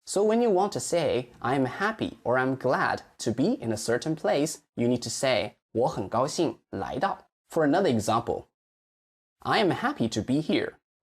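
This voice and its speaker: A man with a Chinese accent, a native Chinese teacher explaining pronunciation in a lesson, carefully modeling words slowly and stretching syllables. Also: mid-range voice, slightly rough. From mainland China.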